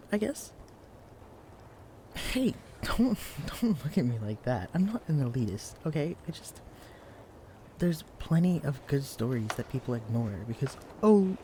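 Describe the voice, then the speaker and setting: A young man playing a shy, clumsy, bookish librarian in an intimate audio roleplay, speaking in a hesitant, stammering, hushed way. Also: fairly high pitch, a little hiss or hum, close to an everyday voice. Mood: eager, sheepish. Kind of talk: intimate audio roleplay acting addressed to the listener. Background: quiet library ambience.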